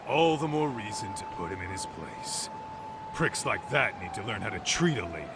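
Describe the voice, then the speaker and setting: A man's voice: on the low side, very animated, normal speed, background noise. A man playing a cocky, brash tough guy in a video game cutscene. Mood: menacing. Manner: brash, swaggering. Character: cocky brawling tough guy, gruffly scornful. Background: music.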